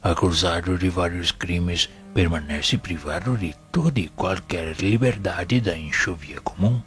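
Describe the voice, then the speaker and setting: A man with a Brazilian accent playing a venerable, wise and patient spirit mentor in an audio novel, speaking in a formal, didactic, measured way. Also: low-pitched, soft background music. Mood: solemn, instructive. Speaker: audio drama actor. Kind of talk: solemn scripted spiritist teaching in an audio novel.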